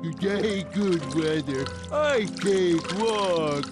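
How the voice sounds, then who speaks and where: Bashful cartoon game robot monster: simple and gentle, high for a man, extremely slow broken phrases, loud music underneath. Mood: simple, content. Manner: halting broken sentences, bashful. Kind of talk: cartoon video game cutscene acting.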